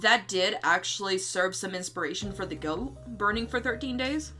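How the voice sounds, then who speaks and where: Brief: female streamer, bright forceful voice, giggly and rambling, informal chat, music underneath. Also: mid-range voice, normal speed. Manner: giggly, rambling, swears casually. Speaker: livestream host and artist. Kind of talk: casual livestream chat about own story notes.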